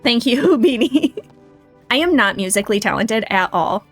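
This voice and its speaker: A woman's voice: fairly high pitch, animated, moderate pace, a bit bright, soft background music. A woman hosting a casual game livestream, chatting and thinking aloud in a self-deprecating way. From United States. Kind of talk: casual livestream chat and gameplay commentary.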